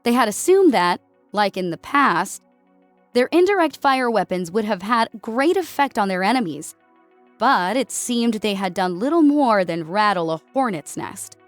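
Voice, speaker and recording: A woman's voice: medium pitch, animated, moderate pace, no room sound, faint music behind. A woman giving a dramatic scripted narration of an action story as an audiobook narrator.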